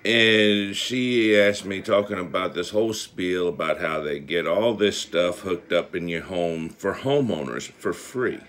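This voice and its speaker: A man's voice: low voice, unhurried, few pauses, fairly bright tone. A man, chatting casually to his phone camera in a blunt, folksy way, telling stories. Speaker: amateur video blogger. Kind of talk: casual chat to camera, storytelling. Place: small indoor room with panel ceiling. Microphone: phone at arm's length.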